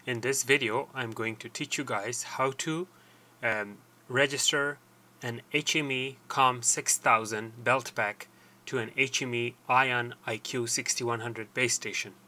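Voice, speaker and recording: Bright-voiced man giving a halting tech tutorial. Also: mid-range voice, steady tone. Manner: halting, deliberate step-by-step explaining. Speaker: tutorial presenter. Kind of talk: hands-on technical tutorial, explanatory. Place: small cluttered indoor workshop.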